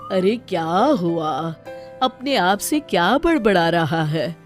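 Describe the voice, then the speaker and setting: A woman voicing a protective, wise older mother-in-law who gently scolds in an animated story. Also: on the low side, slow, over music, pitched below the performer's own voice. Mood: calm, questioning. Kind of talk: animated moral story dialogue.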